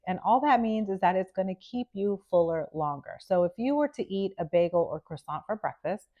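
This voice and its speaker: A woman's voice: mid-range voice, darkish tone. A woman nutritionist vlogging to camera, upbeat and chatty, with a nasal sound from a cold, checking in with "okay?". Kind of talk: direct-to-camera health vlog.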